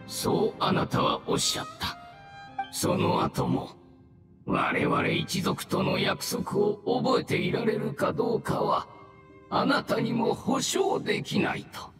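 An actor playing a dying ancient mushroom spirit in a game, weary and devoted, with a weak, halting, archaic old man's delivery. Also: high voice, animated, fairly bright tone, roomy sound, soft background music, heavily acted. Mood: solemn, reverent. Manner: slow, archaic elderly speech, halting. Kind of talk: dramatic game dialogue acting.

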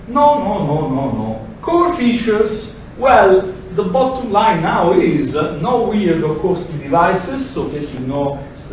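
A middle-aged man with a heavy Italian accent, speaking a little higher than most men, lectures with animated energy. He is caught by a distant camera, so his voice rings around a big, reverberant room with a faint hum beneath it. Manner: animated, gesturing, acts out examples in quoted voices. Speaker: lecturer presenting a project. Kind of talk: lively technical presentation. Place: large lecture room, indoors. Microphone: far camera, no mic. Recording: echoey room from far off.

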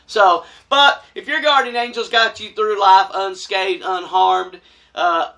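Bright-voiced man telling stories. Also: fairly high pitch. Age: middle-aged. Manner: animated, folksy, colloquial. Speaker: storyteller, vlog host. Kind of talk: casual personal storytelling to camera. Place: small wood-panelled room indoors.

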